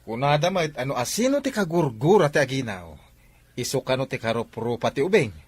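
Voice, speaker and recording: Radio soap role: nosy, familiar Ilocano Filipino pal, husky mid-range voice, teasing delivery. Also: male voice, medium pitch, very animated, brisk. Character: inquisitive, teasing male buddy. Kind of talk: radio drama acting.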